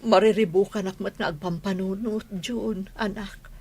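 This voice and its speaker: A woman's voice: mid-range voice, steady tone, moderate pace, darkish tone, slightly rough. A woman with an Ilocano Filipino accent playing a worried, regretful mother in a radio drama, weary and plaintive. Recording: background noise behind the voice.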